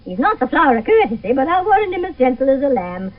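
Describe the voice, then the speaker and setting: Muffled, high-voiced old nurse. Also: female voice, sing-song, fast. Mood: teasing, breathless. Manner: dawdling, groaning, chuckling. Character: teasing, complaining old nurse, bawdy and fond. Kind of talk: 1970s radio Shakespeare acting. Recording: muffled phone-like vintage radio broadcast, distant.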